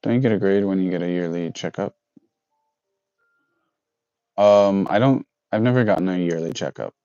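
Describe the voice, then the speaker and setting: A man's voice: low voice, moderate pace, dark tone, clean tone, no echo, faint music behind. A man in his thirties chatting casually with viewers on a livestream, rambling and correcting himself as he goes. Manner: rambling, self-correcting, reads out chat. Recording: dull lo-fi dry sound.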